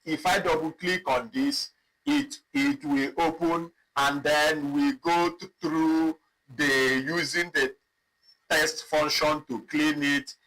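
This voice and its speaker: Slow-paced man teaching a software class. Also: a little high, fairly even intonation, low-quality recording. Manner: slow, repetitive, thinking aloud while clicking. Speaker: instructor. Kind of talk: live online software tutorial.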